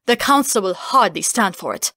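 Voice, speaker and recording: A woman's voice: medium pitch, lively intonation, moderate pace, pushed and bright, no room sound, studio quality. A woman voicing an indignant, skeptical council member in a fantasy audiobook, haughty and incredulous. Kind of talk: fantasy audiobook character acting.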